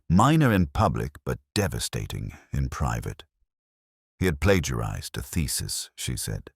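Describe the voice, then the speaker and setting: A man playing a melancholic, introspective police inspector, narrating a case in the first person in a measured, literary way for an audio drama. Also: a bass voice, slightly rough, no room sound, clean studio sound, full character voice. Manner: measured, literary, brooding delivery.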